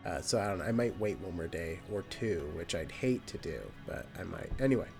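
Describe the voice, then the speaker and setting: A nasal, slightly low-voiced man rambles through a casual let's-play update, full of ums and restarts. Game music plays clearly behind him. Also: expressive, brisk. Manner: rambling, with many fillers and restarts. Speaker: gaming channel host. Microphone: new microphone.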